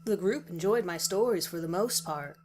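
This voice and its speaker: A woman's voice: lowish voice, expressive, normal speed, a little mellow. A woman reading a first-person horror story as a scripted narration. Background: music.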